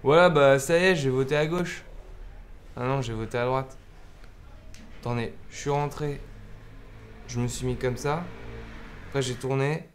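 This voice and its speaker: A man's voice: medium pitch, measured pace, pauses often, a little hiss or hum, natural voice. A man playing a confused, literal-minded voter who protests and repeats himself in an absurd comedy sketch. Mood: muddled.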